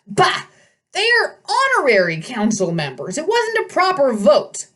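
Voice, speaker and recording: Storybook satyr, elderly and male, played by a woman: raised pitch, pompous, indignant, prone to sputtering. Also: huge pitch swings, a little slow, a bit bright, distant mic, full character voice. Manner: huffy, blustering, stammering when flustered.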